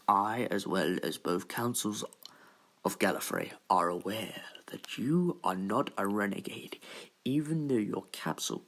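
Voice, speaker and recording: An actor playing a stern, formal Time Lord president in a sci-fi audio drama, speaking with pompous deliberation and weighty pauses. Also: male voice, lowish voice, vocal fry, fairly bright tone, heavily acted. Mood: measured, formal.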